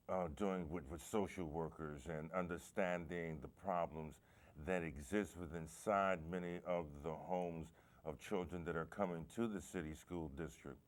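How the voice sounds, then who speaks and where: A man hosting a local talk show, asking questions in a measured, thoughtful way with some hesitations. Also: low-pitched. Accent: American.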